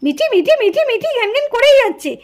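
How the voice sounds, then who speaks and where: A woman's voice: very high-pitched, very animated, racing. A woman voicing a studious, exasperated young girl, an older sister who complains and pleads, in a puppet doll cartoon.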